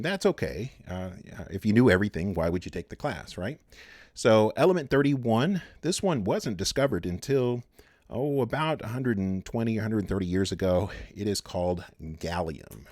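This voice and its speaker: A low-voiced man teaches chemistry in a relaxed, unscripted way, full of fillers and restarts. His pitch rises and falls a lot as he goes. The sound is close and dry, with no room echo. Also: normal speed. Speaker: chemistry instructor. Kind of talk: recorded lecture.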